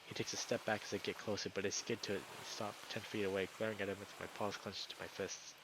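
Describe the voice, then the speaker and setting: A man's voice: lowish voice, flat delivery, fast, background noise. A man reading a visual novel aloud as a streamer, in dramatic first-person narration.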